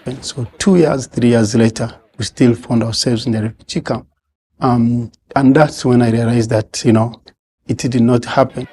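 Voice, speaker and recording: A man who grew up as a refugee, telling his story in an interview, halting with many fillers and pauses. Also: mid-range voice, fairly even intonation, dark tone, slight background noise. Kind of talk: interview testimony.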